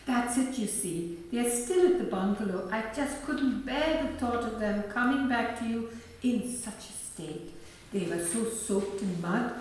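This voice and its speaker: A woman's voice: on the high side, a little mellow. A woman playing a kindly, fussing, chatty married neighbour in a radio play. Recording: echoey room, far from mic.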